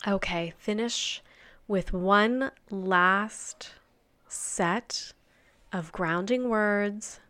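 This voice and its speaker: Very slow, soft-spoken meditation guide woman. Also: medium pitch, no room sound. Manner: soft, slow and soothing, trailing off into near-whispers.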